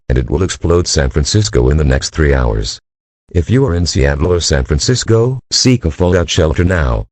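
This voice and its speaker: Very deep, flat male alert announcer. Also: slightly rough, dry recording. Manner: formal, impersonal, mechanical delivery. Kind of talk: scripted emergency alert announcement.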